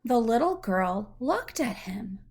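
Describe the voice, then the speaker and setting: Female storyteller for young children: high-ish voice, very measured pace, patient and simple in explanation. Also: sing-song, darkish tone, slightly rough. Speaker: adult reading aloud to a young child. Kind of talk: slow read-aloud storytelling with questions to a child.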